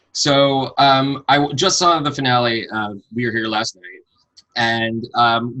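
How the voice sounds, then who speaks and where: A man's voice: mid-range voice, pushed and bright, low-quality recording. A man interviewing a guest over a video call, friendly and earnest, with many fillers. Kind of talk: remote interview.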